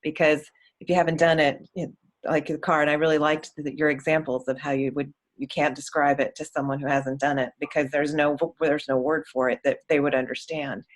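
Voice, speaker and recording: Halting, thoughtful audience member. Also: medium pitch, level tone. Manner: thoughtful, halting, searches for words. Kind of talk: casual conversation.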